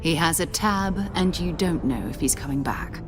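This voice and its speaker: An actress playing a knowing space explorer companion in a video game, with a dry, direct, teasing manner. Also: female voice, sits low, normal speed, over music, close to an everyday voice. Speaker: companion character. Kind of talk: video game cutscene acting.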